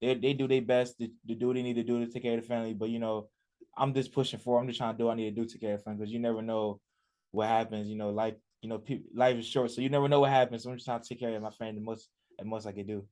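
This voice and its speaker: A young man from Richmond, Virginia, talks fast and loosely as a guest on a radio sports show. His pitch sits in the middle of the male range. He keeps breaking off sentences and packing in fillers as he answers. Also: steady tone, warm tone. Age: 20s. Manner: rambling, many fillers and restarts. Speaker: college athlete guest. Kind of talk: casual radio interview.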